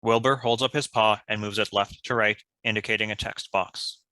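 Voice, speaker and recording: A man's voice: lowish voice, steady tone, normal speed, sharp tone, dry recording. A man reading scripted stage directions as the narrator.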